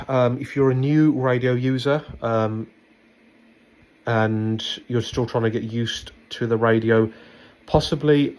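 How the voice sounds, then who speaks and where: A man presenting a step-by-step product demonstration, instructing in a halting way as he thinks aloud. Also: medium pitch, a little mellow.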